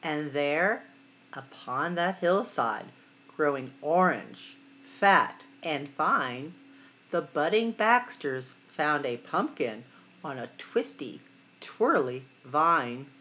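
A middle-aged woman hosting storytime, reading a picture book expressively to children with sing-song, drawn-out emphasis. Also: low-pitched, expressive, a bit bright. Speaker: library storytime host.

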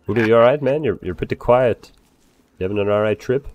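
A man improvising in character during a role-play game, excitable and swearing freely. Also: mid-range voice, quick pace, highs cut off. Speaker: role-play player, bar owner character.

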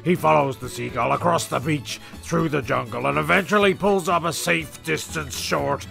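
A man's voice: a little high, normal speed, gravelly, bright, forceful tone, soft background music, heavily acted. A man playing a flustered, exasperated narrator of a comic castaway audio serial, rambling and stumbling over his words.

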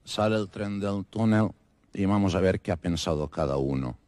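A man's voice: sits low, moderate pace, darkish tone. A man telling jokes in a dry storytelling manner, peppering them with repeated asides. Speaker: stand-up comedian. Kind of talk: joke-telling monologue.

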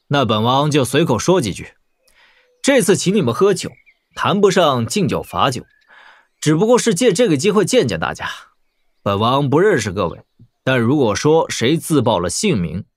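A man playing a calm, commanding young warlord prince in an audio drama, speaking with measured, weighty deliberation. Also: mid-range voice, no room sound, studio quality. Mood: composed, stern.

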